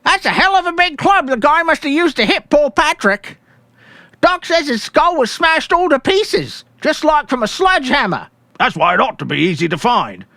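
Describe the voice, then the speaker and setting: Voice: sits high, very animated, moderate pace, bright, forceful tone, no echo, studio quality, pitched above the performer's own voice, exaggerated voice. A performer voicing casual male policemen who talk bluntly and thickly with their mouths full, in a story reading. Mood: casual, speculating.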